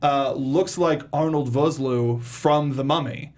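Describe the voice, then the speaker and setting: A man's voice: medium pitch, takes it slowly, a little mellow. A man hosting a casual film discussion, informal and often groping for his words. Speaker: talk show host.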